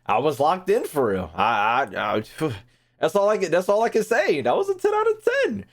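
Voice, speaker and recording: A young man streaming his reactions to a game. He talks in a brash, slangy, sweary way and repeats phrases, with pitch that jumps around a lot. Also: sing-song, normal speed. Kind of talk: casual live-stream commentary.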